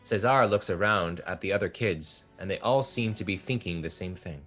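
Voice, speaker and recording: A man narrating the stage directions of a play in a measured table-read style. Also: sits low, a bit bright, soft background music. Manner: measured, descriptive.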